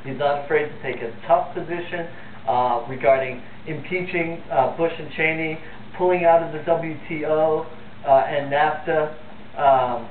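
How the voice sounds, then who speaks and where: Tinny, distant high-voiced male talk host. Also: steady tone, moderate pace. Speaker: host of a call-in political talk show. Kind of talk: unscripted live phone-in talk. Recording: phone-like, roomy, distant, noisy TV audio.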